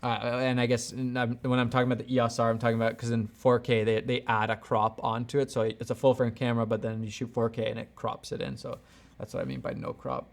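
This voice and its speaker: A man with plenty of vocal fry talks casually as a livestream host. He thinks aloud and rambles, often doubling back on his own thought. His delivery is unscripted and conversational, like an online creator answering questions from viewers. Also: mid-range voice, flat delivery, quick pace. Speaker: camera gear YouTuber hosting a livestream.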